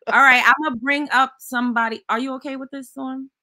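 A woman hosting a call-in chat show over video call, brisk as she handles callers. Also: high-pitched, steady tone, sharp tone, no echo, clean studio sound. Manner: brisk, quick to laugh. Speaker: show host.

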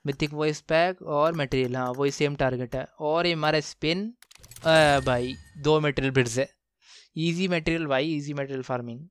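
A man giving casual, excited gameplay commentary, slangy and exclamatory, repeating phrases for emphasis. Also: fairly high pitch, moderate pace, clear, resonant tone. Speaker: gaming channel host.

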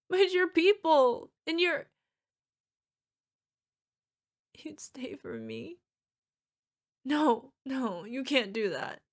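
A woman acts out a young orc girlfriend in an audio roleplay. Her voice is fairly high, and she is gushing, needy and playful. She is fierce yet cutesy, speaking intimately to a partner. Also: heavily acted. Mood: tearful, anxious. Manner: gushing, emotional, playful, talking to an unheard partner. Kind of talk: ASMR girlfriend roleplay acting.

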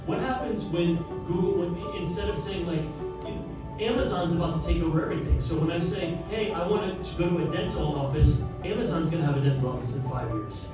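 Panelist, thirties, energetic and emphatic; handheld mic in a very echoey room, distant pickup. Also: male voice, a little high, a little fast. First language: English. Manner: fired-up, emphatic, talks with his hands. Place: large office event space, indoors. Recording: roomy, from afar, with music.

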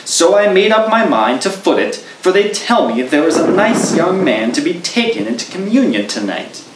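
A man voicing a gossipy old woman who is secretly a witch, in a shrill high voice. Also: very animated, moderate pace, reverberant, far from the mic, very noisy.

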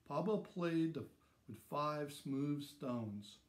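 A man in his sixties reading a children's story aloud in simple, measured phrases with dramatic emphasis. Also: a little high, expressive. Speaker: storybook reader. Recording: roomy echo around the voice.

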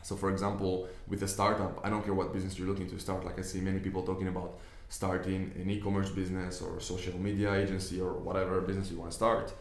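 A man's voice: deep voice, monotone, quick pace, hardly any pauses. A young man from Israel with an Israeli accent, whose first language is Hebrew. He talks earnestly to a camera from a desk indoors, explaining his ideas with many restarts. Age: young adult. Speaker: business investor. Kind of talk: casual talk to camera. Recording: echoey room.

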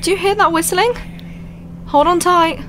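A woman's voice: extremely high pitch, normal speed, noisy background. A woman playing a young expedition pilot in an audio drama, earnest, practical and uneasy. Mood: alarmed, awed.

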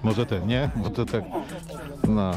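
A man's voice: on the low side, steady tone, slow pace, loud music behind the voice. A male motorcyclist chatting casually with other riders over a helmet intercom outdoors. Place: outdoors on motorcycles, at petrol station and road.

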